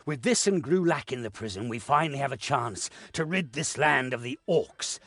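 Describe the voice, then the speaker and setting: Earnest, husky fantasy hero. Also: male voice, mid-range voice, lively intonation, normal speed, fairly bright tone, no echo. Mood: solemn. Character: earnest, commanding young-hearted hero leading against orcs. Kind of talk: fantasy video game voice acting.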